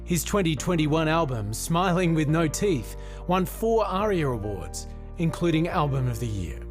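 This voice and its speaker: A man reads nominee profiles in a measured, formal voice-over. His pitch sits a little high for a man. Clear music plays beneath him. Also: very animated. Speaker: announcer. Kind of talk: scripted voice-over narration.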